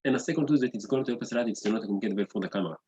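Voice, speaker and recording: A man in his thirties whose first language is Hebrew, hosting his own video podcast with excitable, repetitive talk that keeps calling out to "guys". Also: lowish voice, rapid-fire, muffled recording. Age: 30s. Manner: excitable, repeats words and says "guys" often. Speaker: podcast host. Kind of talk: enthusiastic solo video podcast intro.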